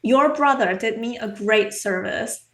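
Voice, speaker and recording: A woman playing a stern female vampire in a tabletop game, questioning another character in a measured, pointed way. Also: fairly high pitch, fairly bright tone. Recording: echoey room.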